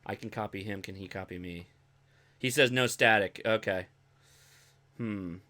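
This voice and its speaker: A man's voice: on the low side, unhurried, pushed and bright. An American man hosting a ham radio livestream in a casual, chatty way that rambles and digresses. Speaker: host of a ham radio live stream.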